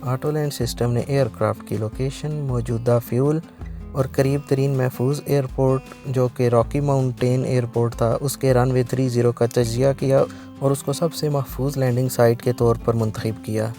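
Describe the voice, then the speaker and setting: Gravelly male documentary narrator over music. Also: mid-range voice, steady tone, moderate pace, warm tone. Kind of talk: scripted documentary narration of aviation incidents.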